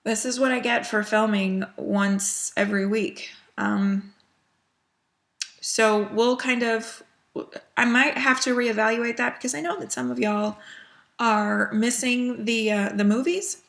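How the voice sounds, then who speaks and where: A woman's voice: on the high side, steady tone, measured pace, vocal fry, a bit bright. A woman chatting casually as a cross-stitch vlogger, a little sniffly from a cold, with lots of ums and small laughs. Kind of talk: casual chat.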